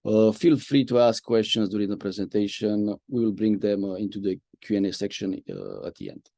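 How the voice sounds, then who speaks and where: Low-voiced man hosting an online session. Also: animated, fairly quick, vocal fry, dark tone, no echo, clean studio sound. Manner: frequent "uh" fillers, friendly moderator. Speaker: host, media and communication lead. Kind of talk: webinar hosting.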